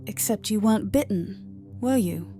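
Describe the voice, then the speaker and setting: Lady acting calm doctor, soft mellow tone, soothing, audio comic with faint music under it. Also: medium pitch, natural voice. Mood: calm concern. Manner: soothing, measured. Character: calm, reassuring female doctor.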